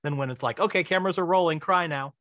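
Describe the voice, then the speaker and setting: A man chatting casually as a podcast guest, in a halting, self-deprecating way. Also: on the high side, a bit bright, no room sound, clean studio sound. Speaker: podcast guest, writer and director. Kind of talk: casual conversation.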